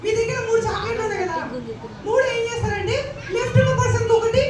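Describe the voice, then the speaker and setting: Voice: very high-pitched, quick pace. A performer voicing a playful child puppet that teases and repeats questions to children in a live puppet show. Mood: cheerful, instructing. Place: large church hall puppet show, children around. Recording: echoey noisy hall, far from mic, crowd.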